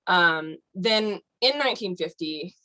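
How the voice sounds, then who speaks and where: A woman's voice: fairly low pitch, expressive, a little slow, sharp tone, no echo, low-quality recording. A woman guest on a podcast, explaining at length in casual chat with many fillers like "um" and "like". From United States.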